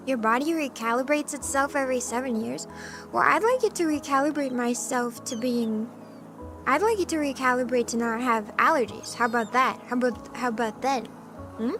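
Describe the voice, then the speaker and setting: A woman streamer chatting casually with her live chat, with a hoarse voice and a playful cat persona. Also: on the high side. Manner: chatty and playful, often clearing her throat. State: hoarse from allergies. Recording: music playing in the background.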